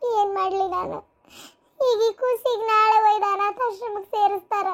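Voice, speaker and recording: A young mother's restless ghost in a cartoon melodrama. The voice is extremely high, almost childlike, and plaintive. She laments in grief with a North Karnataka accent. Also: fairly even intonation, moderate pace. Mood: anguished, tearful. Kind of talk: melodramatic cartoon story acting.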